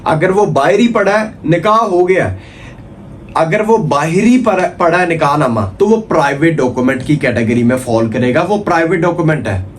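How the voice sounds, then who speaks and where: Pakistani guy doing a law lecture, voice a bit high, drops in english terms, keeps checking you follow, bit of noise behind him. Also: animated, moderate pace. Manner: repetitive step-by-step explaining with frequent check-in tags. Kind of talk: informal explanatory lecture. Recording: noticeable background noise.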